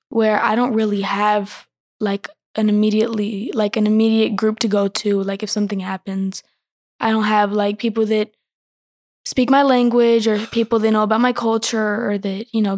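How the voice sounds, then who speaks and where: A thirteen-year-old girl answering interview questions thoughtfully, pausing with many fillers. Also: mid-range voice, a little mellow, dry recording, clean studio sound. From born in Ecuador, raised in the United States. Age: teens. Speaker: guest.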